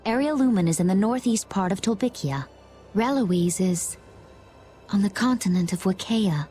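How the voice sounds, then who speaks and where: A composed young woman adventurer, played by an actress in a video game. Her voice is medium in pitch and courteous, over faint music. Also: expressive, normal speed, dark tone, close to an everyday voice. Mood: calm, composed. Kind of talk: English video game voice acting.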